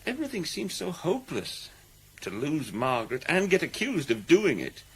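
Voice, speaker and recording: An actor in a British radio drama playing an anxious accused man, speaking in a halting, troubled, earnest way. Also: on the high side, huge pitch swings, a bit bright, breathy edge, exaggerated voice, low-quality recording. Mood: hesitant, subdued. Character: anxious accused man protesting his innocence.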